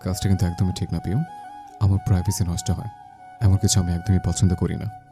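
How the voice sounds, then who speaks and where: Male actor as stern, aloof young man in audio romance, low and very mellow-dark. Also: level tone, a little fast, faint music behind.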